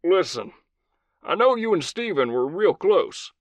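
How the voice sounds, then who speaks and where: Man doing a gruff old Southern cowboy. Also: high voice, sing-song, unhurried, no echo, pitched above the performer's own voice. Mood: gruff consoling. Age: older. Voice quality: thick drawl, swears freely.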